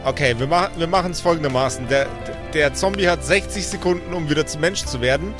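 Guy w slightly high voice, rpg player, casual jokey improv chat, soft music in back. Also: quick pace. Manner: joking, colloquial. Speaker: tabletop role-playing player.

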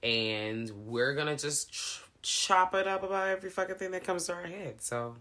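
A woman co-hosting a podcast in casual chat, with a playful, calm delivery and lively swoops in pitch. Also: normal speed. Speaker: podcast co-host.

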